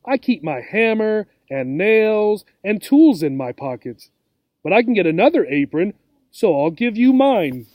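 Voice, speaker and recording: A man's voice: high voice, big pitch swings, measured pace, dry recording, highs cut off. A man playing a kind, helpful workman in a children's storybook, plain-spoken and obliging. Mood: friendly, helpful.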